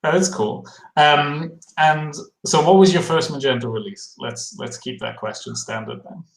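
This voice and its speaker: A man's voice: fairly high pitch, fairly even intonation, moderate pace, roomy sound, low-quality recording. A man hosting a livestream over a video call, asking guests questions in a casual, self-deprecating way with many fillers. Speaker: livestream host.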